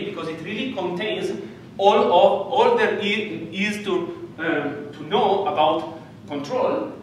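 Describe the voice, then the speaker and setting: A middle-aged Italian-accented man lectures with a high, swooping voice and a playful storytelling manner. He is picked up from across a dark, echoey hall, distant from the mic, with steady room noise behind him. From Italy. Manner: animated, gesturing, playful storytelling with frequent "okay?" checks. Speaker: physics lecturer. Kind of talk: lively university lecture. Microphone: distant room mic, speaker walking around.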